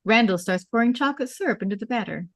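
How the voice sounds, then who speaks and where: A woman's voice: fairly high pitch, expressive, quick pace, dry recording, clean studio sound. A woman narrating a script at a table read, reading out scene headings and stage directions.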